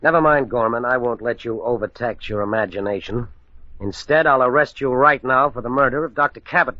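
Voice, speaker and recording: A lo-fi, muffled radio drama recording carries a police lieutenant of middling pitch, played by a man. He is shrewd and firm, with a dry, deliberate way of probing. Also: a little hiss or hum, close to an everyday voice. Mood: dryly assured. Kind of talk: old-time radio mystery acting. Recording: dull lo-fi old radio recording.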